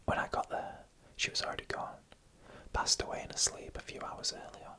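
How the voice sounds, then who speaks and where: A narrator telling eerie stories in a breathy, unvoiced whisper, in deliberate short phrases for ASMR. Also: sharp tone. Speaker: ASMR storyteller.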